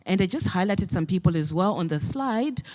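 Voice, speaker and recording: A woman from Zimbabwe giving a conference talk in a measured way, with frequent fillers. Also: fairly low pitch, animated, a little mellow. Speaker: conference speaker.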